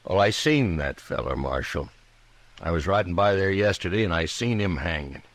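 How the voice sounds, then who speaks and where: An actor playing an evasive, blustering frontier homesteader, folksy and defensive, in 1950s radio western style. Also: male voice, low voice, expressive, normal speed, no room sound.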